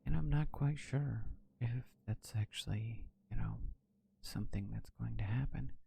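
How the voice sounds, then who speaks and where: A man giving soft-spoken ASMR gameplay commentary as a video host, hushed and often close to whispering. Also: medium pitch, unhurried, creaky, slight background noise. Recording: loud game ambience behind voice. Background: video game ambience.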